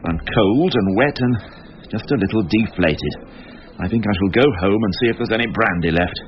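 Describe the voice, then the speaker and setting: An actor playing a world-weary older police inspector with dry, understated irony in a radio crime drama. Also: male voice, fairly low pitch, brisk, lightly acted. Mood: weary, businesslike. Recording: dull, noisy radio drama recording.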